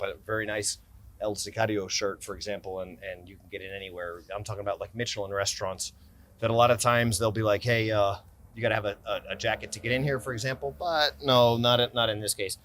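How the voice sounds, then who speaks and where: A man's voice: lowish voice, fairly even intonation, fast, a bit bright. A man in his forties with an American accent, talking casually about his everyday carry gear while seated in a city park, rambling and restarting sentences. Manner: rambling, self-interrupting, enthusiastic about gear. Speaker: gear company owner and vlogger. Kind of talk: casual gear-review vlog talk. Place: outdoor city park, seated on stone ledge. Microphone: camera on tripod a few feet away, handheld close-ups.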